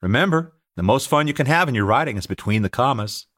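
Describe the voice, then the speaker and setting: A middle-aged American man reading his own audiobook as an author and teacher, telling anecdotes in an expressive, scripted style. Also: mid-range voice, fast. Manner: expressive, anecdotal storytelling, acting out dialogue. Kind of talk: scripted narration, audiobook memoir.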